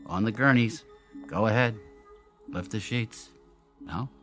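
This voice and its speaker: A man's voice: on the low side, takes it slowly, a bit bright, faint music behind. An actor in a radio drama playing a brilliant, lonely male inventor who explains himself in theatrical, brooding monologues. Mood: proud, intense. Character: brilliant, lonely, self-doubting male inventor of an android double.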